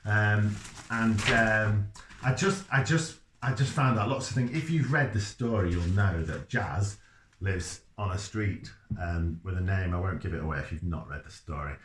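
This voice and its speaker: A man's voice: on the low side, normal speed, a bit bright. Middle-aged male, Leeds accent, informal explainer; earnest, self-interrupting, roomy sound with faint noise. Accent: Leeds, Yorkshire. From Leeds, England. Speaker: children's author. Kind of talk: informal explainer talk to camera. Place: small indoor room by a whiteboard. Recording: echoey room, faint background noise.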